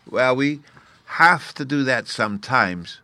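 A man reading a storybook aloud, voicing a small, mischievous and sulky boy fairy who lisps "oo" for "you" and talks in a petulant, lively way. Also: mid-range voice, slow pace, dry recording, pitched above the performer's own voice, exaggerated voice. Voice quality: childish lisp, says "oo" for you.

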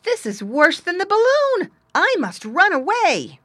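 Lady doing a comical lil boy pig for a kids story, eager n polite, bright and lifted, super close dry sound. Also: a little high, sing-song, moderate pace, no room sound, voice pushed up. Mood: dismayed. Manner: polite, easily astonished exclamations. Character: eager, naive, comical little male pig.

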